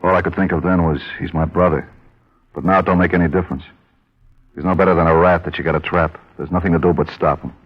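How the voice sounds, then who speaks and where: A troubled, plain-spoken working man talks in a very low voice. He is halting and heavy-hearted, in an old radio drama with a dull, narrow sound. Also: fairly even intonation, slightly rough. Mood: bitter, ashamed. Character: troubled working-class man, ashamed of his brother. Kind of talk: 1950s radio drama acting. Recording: dull vintage radio recording.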